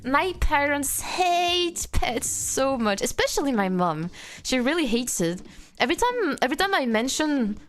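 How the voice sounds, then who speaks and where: A woman's voice: high-pitched, very animated, unhurried. A young woman in her twenties from France with a French accent, chatting playfully on a live stream and answering chat. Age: 20s. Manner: playful, reads chat aloud, frequent laughter.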